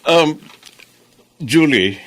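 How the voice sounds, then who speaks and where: An actor playing a genial, boastful company boss with a familiar way of talking in a radio drama. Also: male voice, on the high side, expressive, drawn-out words, fairly bright tone, exaggerated voice. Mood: genial, probing. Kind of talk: Tagalog radio drama acting.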